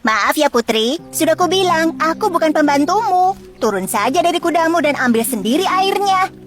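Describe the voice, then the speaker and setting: A woman's voice: very high-pitched, expressive, normal speed, music in the background, pitched above the performer's own voice. A woman voicing a scheming, insolent young female servant in a children's fairy tale, curt, bossy and haughty.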